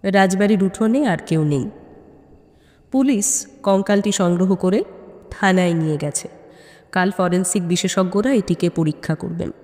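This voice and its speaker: A woman's voice: lowish voice, a little mellow, big-room sound. A woman reading a scripted suspense story as narrator, with a dramatic, suspenseful delivery.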